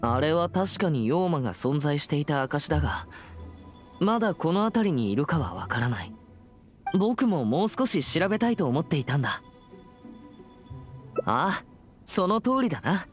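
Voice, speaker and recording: An earnest young exorcist boy from a video game. He speaks formally and dutifully, with very lively intonation, over soft background music. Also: a little high, moderate pace, pauses often. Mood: earnest, resolute. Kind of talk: scripted Japanese game voice acting.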